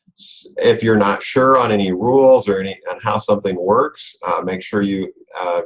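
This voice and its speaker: An American man explaining rules informally and step by step, as a coach leading a conference call. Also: on the low side. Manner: conversational, explanatory, talks through rules step by step. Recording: dull, lo-fi conference call audio.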